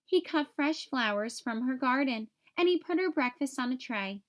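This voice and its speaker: A woman reading a picture book aloud to young children, with expressive, simple storytelling. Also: high voice, moderate pace.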